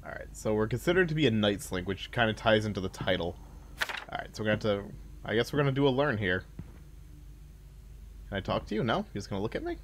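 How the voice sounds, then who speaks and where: A man's voice: on the low side, very animated, quick pace, pauses often, a little hiss or hum. A man doing casual playthrough commentary as a gaming host, thinking aloud and talking back to game characters. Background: game ambience.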